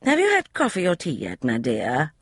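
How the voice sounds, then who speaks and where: A woman voicing a blunt, sharp-tongued older lady with a brusque, salty way of talking. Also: low-pitched, huge pitch swings, moderate pace, husky, no echo, clean studio sound, voice pushed up, full character voice.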